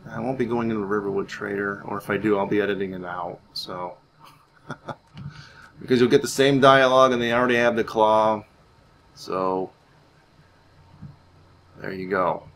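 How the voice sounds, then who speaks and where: A man's voice: fairly low pitch, fairly even intonation, moderate pace, long pauses, a bit bright. A man giving casual gameplay commentary, rambling and thinking aloud as he goes. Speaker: gaming channel host. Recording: faint background noise.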